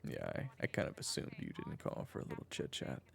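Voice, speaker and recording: A man playing a jaded, dryly sarcastic former thief in an audio roleplay, with a deadpan manner. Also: a little fast, close to an everyday voice. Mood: sardonic. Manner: deadpan, dry humor. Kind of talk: audio roleplay acting, phone conversation.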